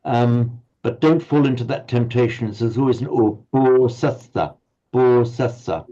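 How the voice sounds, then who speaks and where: A man's voice: mid-range voice, unhurried, warm tone. A man teaching a Pali class online, explaining patiently and often stumbling over repeated words. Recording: slightly muffled audio.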